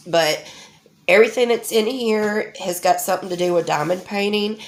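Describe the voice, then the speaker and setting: A woman's voice: medium pitch, moderate pace. A woman, stuffy and nasal from a head cold, chatting casually as the host of a crafting unboxing vlog. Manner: chatty, homey, with many ums.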